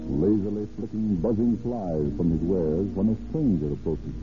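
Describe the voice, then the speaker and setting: Male announcer, lowish pitch, formal old-time radio narration, narrow tinny band. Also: normal speed. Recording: tinny old radio recording, loud music.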